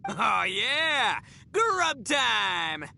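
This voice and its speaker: A man voicing a big, boisterous young brawler in a video game, gravelly and goofy, with a drawl. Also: sits high, very animated, drawn-out words, sharp tone, exaggerated voice.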